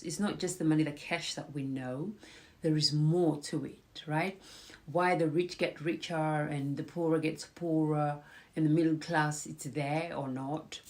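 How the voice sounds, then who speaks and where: A woman's voice: low voice, darkish tone. A woman hosting a casual live stream, chatting to viewers and encouraging them, with a slight cold in her voice. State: has a cold. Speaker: host.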